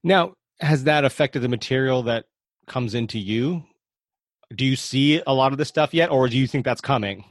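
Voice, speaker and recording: A man's voice: mid-range voice, moderate pace, no room sound, studio quality. A man hosting a podcast and interviewing a guest in a casual, conversational way.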